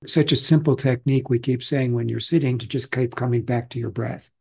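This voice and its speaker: A man from Austria, a meditation teacher, giving a calm, reflective and conversational Zen dharma talk. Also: mid-range voice, a little fast, creaky, breathy edge.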